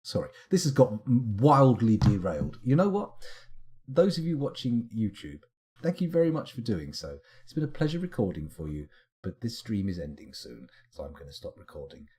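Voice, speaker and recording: A man's voice: fairly low pitch, sing-song, moderate pace, a little mellow. Tired, chatty man. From England. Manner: rambling, chatty, reads chat aloud. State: tired late at night. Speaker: game streamer. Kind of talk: casual live-stream chat.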